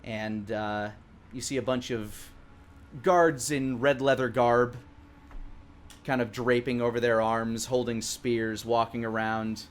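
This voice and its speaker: A man narrating a tabletop game as dungeon master, improvising and pausing often to search for words. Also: medium pitch, fairly even intonation.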